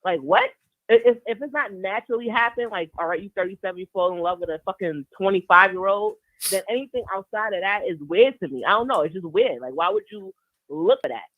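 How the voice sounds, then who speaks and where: A young woman in her twenties makes a blunt, drawn-out argument in a group debate. Her voice comes through thin and tinny, as over a phone line. The sound is dry, with no room around it. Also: mid-range voice, expressive, no echo. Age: 20s. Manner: blunt, explains her point at length. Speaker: podcast co-host. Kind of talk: heated casual debate.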